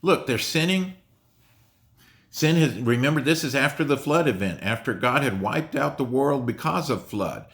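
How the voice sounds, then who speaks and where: A man preaching a conversational sermon, rambling and restarting, his pitch rising when he stresses a point. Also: expressive, moderate pace, vocal fry, a bit bright. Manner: rambling, repeats words and restarts, rises in pitch for emphasis. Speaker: pastor.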